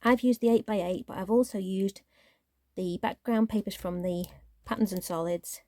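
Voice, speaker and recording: A woman with a mellow, slightly croaky voice talks her viewers through a handmade craft in a relaxed, chatty way. Her voice sometimes drops to a near-whisper as she works. The sound is dry and close. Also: medium pitch, moderate pace, no echo. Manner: chatty, relaxed show-and-tell, drops to near-whisper while handling paper. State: croaky voice, clearing throat. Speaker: crafting channel host. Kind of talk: casual craft tutorial.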